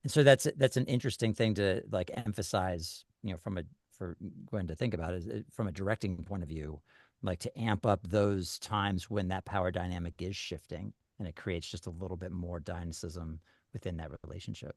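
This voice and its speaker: A man's voice: low voice, a little fast, vocal fry, a little mellow, dry recording, clean studio sound. A man giving feedback on a script in a group discussion, restarting often as he thinks aloud.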